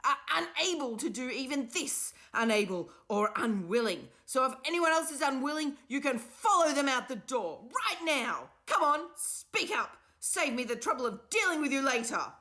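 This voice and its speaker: Woman reading a stern storybook professor turning to angry shouting; loud, bright, animated. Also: low voice, measured pace, hardly any pauses. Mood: furious shouting. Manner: clipped, then shouting in rage. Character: stern male professor, head of an academy, erupting in fury.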